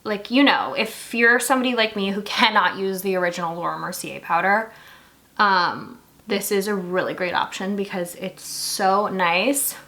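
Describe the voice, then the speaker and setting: Young female beauty vlogger from Singapore, bright tone, rambling casual chat. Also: mid-range voice, normal speed. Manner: chatty, lots of "like" fillers. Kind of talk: casual chat while doing makeup.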